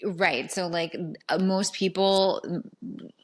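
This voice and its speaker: Woman, 30s, deep-set voice, casual podcast co-host, loose and rambly. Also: slow pace, noisy background. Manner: chatty, rambling with many fillers. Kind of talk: casual chat.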